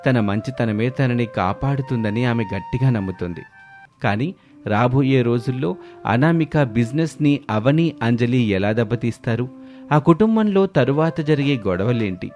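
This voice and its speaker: A man's voice: mid-range voice, expressive, normal speed, a little mellow. A man narrating a scripted moral story as the storyteller. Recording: music bed under the voice.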